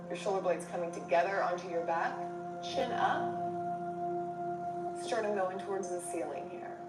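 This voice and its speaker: Distant, roomy woman yoga instructor, lower-pitched. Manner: instructional, cueing breath and movement in short phrases. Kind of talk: guided yoga class, step-by-step instruction. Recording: roomy distant mic under loud music.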